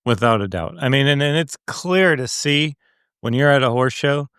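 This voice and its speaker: A man's voice: medium pitch, animated, normal speed, a bit bright, clean tone, dry recording, studio quality. A man hosting a casual interview, speaking in an affirming, conversational way.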